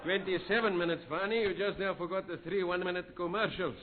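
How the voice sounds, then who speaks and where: A blustering, self-important police sergeant, as an actor plays him. His voice sits high, and the old radio recording sounds thin and phone-like. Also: fast, close to an everyday voice. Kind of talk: 1970s radio comedy sketch. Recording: tinny lo-fi radio broadcast.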